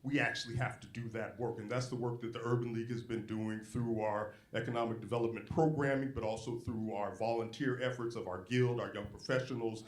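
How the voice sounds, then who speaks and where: A man with a mid-range voice speaks on a community panel. His remarks are measured, with frequent hesitations. The sound is echoey, as if from a large hall. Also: mid-range voice, steady tone. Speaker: panelist from a civic organization. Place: college event hall.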